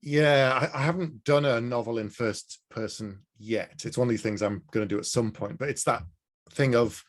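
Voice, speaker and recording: A man's voice: mid-range voice, moderate pace. A man from Newcastle hosting an interview, chatting agreeably with his guest over a video call. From Newcastle, England. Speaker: interview host. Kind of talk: casual interview conversation.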